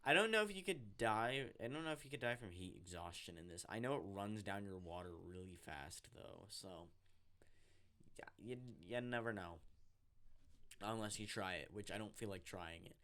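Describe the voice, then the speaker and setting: A man with a raspy voice who says he is getting sick, rambling and unsure of himself, narrating his game in a casual voice-over through a headset mic. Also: lowish voice, lively intonation, normal speed, pushed and bright. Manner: rambling, self-interrupting, unsure. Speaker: gaming YouTuber narrating. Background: game music.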